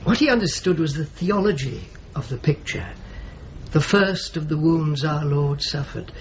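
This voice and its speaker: A male actor playing a pious, courteous churchman in a radio drama, speaking gently and thoughtfully, sometimes haltingly. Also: on the high side, animated, muffled recording, slight background noise, natural voice. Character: pious, courteous churchman showing a visitor around. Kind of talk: naturalistic radio drama acting.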